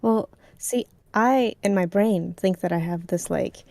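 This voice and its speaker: A woman's voice: fairly low pitch, unhurried, vocal fry, mellow, no echo. A woman co-hosting a live stream, chatting playfully and laughing easily. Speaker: stream co-host. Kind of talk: casual chat.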